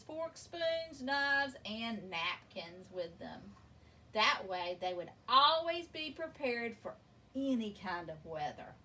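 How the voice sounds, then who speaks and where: High, lively woman reading a children's story. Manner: expressive, deliberate, drawn-out emphasis. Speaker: storybook reader. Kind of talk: read-aloud children's story narration. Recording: distant mic with faint background noise.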